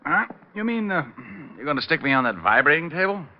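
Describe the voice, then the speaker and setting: An actor playing a sharp-witted private detective in an old-time radio drama, casual but probing in manner. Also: male voice, fairly high pitch, sing-song, brisk. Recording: dull, noisy vintage radio recording.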